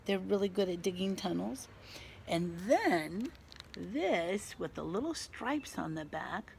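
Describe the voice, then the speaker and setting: A woman teacher doing an interactive read-aloud for children outdoors, expressive, asking questions and pausing for answers. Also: on the low side, vocal fry. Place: outdoors in a back garden. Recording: faint outdoor background noise.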